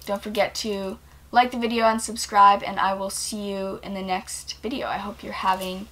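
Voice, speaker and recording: A woman's voice: mid-range voice, normal speed, rough recording. Young woman chatting casually on camera. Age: 20s. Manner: relaxed, rambling, many ums and likes. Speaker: vlogger. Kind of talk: casual Q&A vlog. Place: small indoor room, bed behind.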